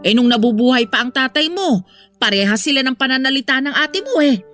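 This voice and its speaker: A woman plays an exasperated, pleading mother in a Filipino audio drama. Her fairly high voice has a Filipino accent and swings widely in pitch, with faint music behind. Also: animated, a little fast, fairly bright tone. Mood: placating, weary. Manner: placating, exasperated, sighing. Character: weary, pleading mother of two grown daughters.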